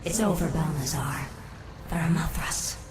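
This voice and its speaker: A woman's voice: low-pitched, moderate pace, background noise, natural voice. A woman voicing a cold, ruthless undead queen in a video game, imperious and menacing. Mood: cold menace.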